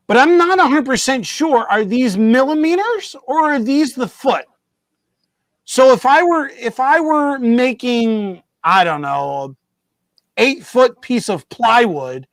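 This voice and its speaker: High-voiced man rambling over his hobby bench. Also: dry recording. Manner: rambling, self-correcting, joking. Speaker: host of a model railroad show. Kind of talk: casual live-stream chat.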